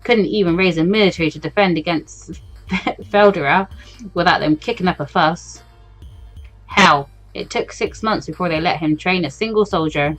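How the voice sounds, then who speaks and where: A woman's voice: fairly low pitch, normal speed, faint music behind, lightly acted, poor audio. A woman voicing a cynical, blunt man drinking at a bar in a visual novel, talking in a casual, grumbling way. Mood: cynical, dismissive. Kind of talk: visual novel read-aloud.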